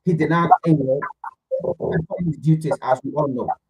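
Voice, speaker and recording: A man's voice: fairly high pitch, slight background noise, poor audio. A man giving pointed political commentary on a talk show, accusing and emphatic. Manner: lively, accusing, emphatic pauses.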